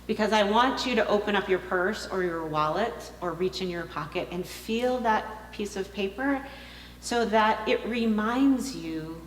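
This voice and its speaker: Woman preacher, mid-pitched, emphatic and pausing, direct appeal; reverberant hall recording with hum. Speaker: minister preaching. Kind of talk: sermon, direct personal appeal. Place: large indoor congregation hall.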